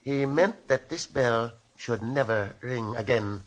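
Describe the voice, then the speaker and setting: An actor playing a grave, superstitious Chinese curio shopkeeper who speaks formally and haltingly in an old radio drama. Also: male voice, mid-range voice, lightly acted. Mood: grave, ominous. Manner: formal, halting, deliberate speech. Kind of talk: 1940s radio drama acting.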